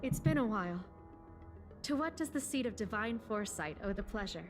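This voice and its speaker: A woman's voice: medium pitch, expressive, a little fast, close to an everyday voice. Composed female official played by an actress, music behind. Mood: composed, attentive. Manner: formal, composed, articulate. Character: composed, formal, shrewd woman government official. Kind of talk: video game character dialogue.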